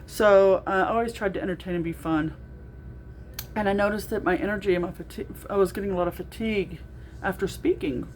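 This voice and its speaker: A middle-aged woman with a naturally loud voice, talking through a casual video diary with lots of ums and restarts. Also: mid-range voice, fairly even intonation, brisk, a little hiss or hum. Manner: rambling, with frequent ums and restarts. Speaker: vlogger.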